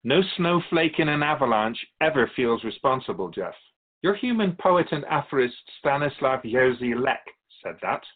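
A man's voice: mid-range voice, expressive, phone-quality sound, natural voice. A man playing a wise otherworldly keeper of souls in a radio drama, courteous, philosophical and wry as he tells stories. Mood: grave, earnest. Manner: measured, philosophical, gently challenging. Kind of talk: radio drama dialogue, storytelling.

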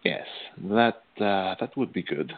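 An actor playing a flustered, halting gentleman and war veteran in a radio play. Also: fairly low pitch, expressive, phone-quality sound.

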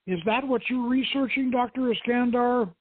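A man's voice: extremely high pitch, moderate pace, slightly rough, pitched above the performer's own voice. A man voicing a polite, eager young female museum guide with a formal, explanatory manner. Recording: tinny band-limited radio stream, roomy echo.

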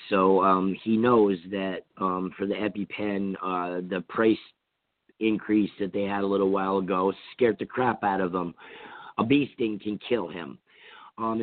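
A hoarse man with a cold from Vermont, hosting a call-in radio talk show in a rambling, casual way with many fillers and restarts. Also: lowish voice, no room sound. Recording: tinny phone-line sound.

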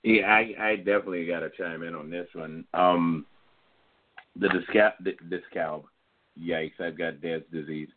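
A man with a very low voice chats easily as a podcast co-host. His talk is relaxed and joking. The sound is thin and phone-like. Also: normal speed. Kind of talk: casual chat. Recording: tinny phone-like line.

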